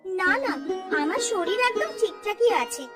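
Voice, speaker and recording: A woman as a high-pitched, spoiled young bride. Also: lively intonation, a little slow, over music. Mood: whiny, defiant. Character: young newly married daughter-in-law, spoiled, defiant, work-shy. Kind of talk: Bengali children's cartoon dialogue.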